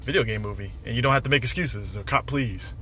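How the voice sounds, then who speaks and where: A man giving a casual, rambling film review, halting often as he gathers his thoughts and swearing mildly. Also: medium pitch, fairly bright tone. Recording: noisy outdoor recording with traffic.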